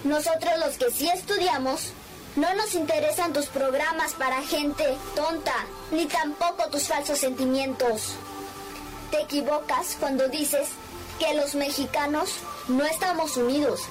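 A woman's voice: high-pitched, fairly even intonation, a little slow, over music, poor audio. A young woman speaking defiantly in a played clip, reciting a challenge. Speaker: young protester.

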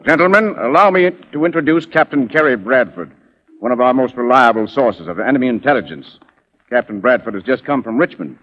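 A man as an authoritative army general in a 1940s radio play. He is formal and hearty, swinging widely in pitch. The sound is lo-fi with long reverb. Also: lively intonation, brisk, natural voice.

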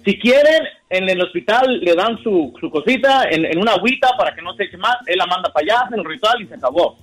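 A man's voice: high voice, lively intonation, fast, a bit bright, soft background music, low-quality recording. A man calling into a radio show, speaking in an earnest, emphatic way. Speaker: radio caller.

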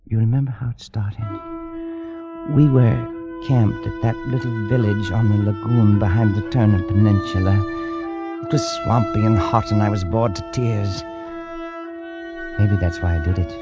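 A man's voice: lowish voice, steady tone, moderate pace, natural voice. A man playing a scoffing, careless adventurer in an old radio play. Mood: wistful. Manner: flippant and scoffing, then hesitant. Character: careless arrogant male adventurer, later haunted. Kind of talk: old-time radio drama acting. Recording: lo-fi archival radio recording with music.

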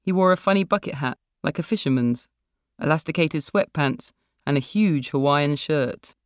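A woman's voice: low-pitched, normal speed, no room sound, studio quality. A woman author reading a short story aloud as literary narration.

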